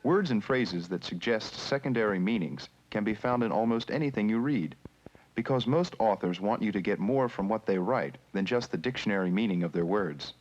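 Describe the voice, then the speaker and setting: A man narrating an educational film in a measured, instructional manner, with questions put to the viewer. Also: on the low side, lively intonation, darkish tone. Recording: muffled 1970s educational film soundtrack with music.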